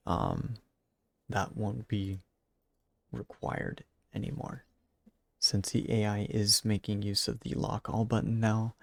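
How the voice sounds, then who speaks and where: A man talking quietly over his gameplay, raspy and a little congested, with a gravelly low voice that stays flat. The sound is close and dry. He rambles along with fillers and restarts. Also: dry recording. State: raspy and congested, late at night. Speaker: gaming YouTuber. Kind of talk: casual gameplay commentary.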